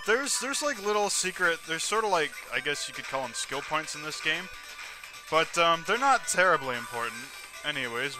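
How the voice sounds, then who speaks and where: A man's voice: a little high, low-quality recording. A man giving casual, rambling commentary as he plays a video game, often correcting himself and describing the action on screen. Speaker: let's play host. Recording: game music behind the voice. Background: video game music.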